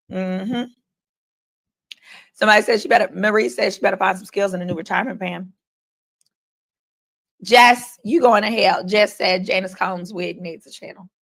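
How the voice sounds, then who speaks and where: Creaky, bright-voiced woman livestreaming commentary. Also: mid-range voice. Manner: informal, opinionated, conversational. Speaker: livestream host. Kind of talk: casual live trial commentary, reading chat comments and updates aloud.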